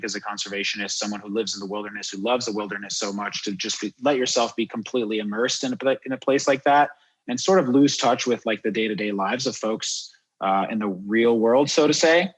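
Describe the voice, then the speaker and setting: Man, low voice, from Miami, informal, reflective, casual interview guest. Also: fairly even intonation, a little fast, slightly rough, rough recording. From born in France, grew up in Miami, USA. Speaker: podcast guest, wildlife photographer and guide.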